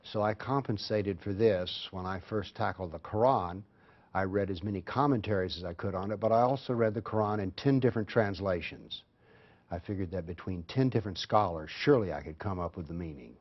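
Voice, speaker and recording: A male guest with a fairly low voice, speaking in a measured, earnest way as he answers questions. The sound is slightly dull and lo-fi. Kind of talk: interview answers.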